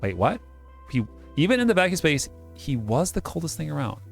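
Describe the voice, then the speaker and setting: A man's voice: on the high side, very animated, a little fast, vocal fry, faint music behind. A man doing a casual let's-play, reading game narration aloud and joking about the text as he goes. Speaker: game streamer reading a visual novel aloud.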